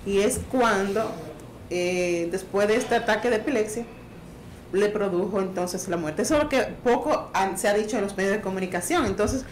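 A woman's voice: medium pitch, normal speed. A woman in her thirties giving commentary on a studio talk panel, often repeating words and restarting sentences. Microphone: boom studio mic. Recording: roomy studio, faint noise.

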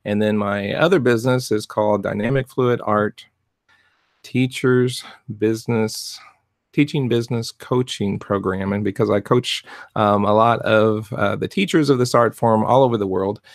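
A middle-aged man, soft-spoken and rambling with many fillers, coaching art teachers in a casual live stream from his home studio on a desk mic. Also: lowish voice, fairly even intonation, moderate pace, dry recording. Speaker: fluid art business coach. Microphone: desk mic in front of webcam.

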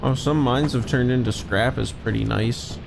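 A man's voice: mid-range voice, fairly even intonation, moderate pace, creaky. A man streaming a co-op game, chatting in a laid-back way with friends and thanking subscribers. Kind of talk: casual co-op gaming chat. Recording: noisy game stream audio.

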